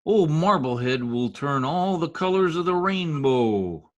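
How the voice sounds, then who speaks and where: A man playing a cheeky, excitable teenage schoolboy in an old-time radio comedy. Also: fairly high pitch, measured pace, highs cut off. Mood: gleeful. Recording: remote home recording over video call.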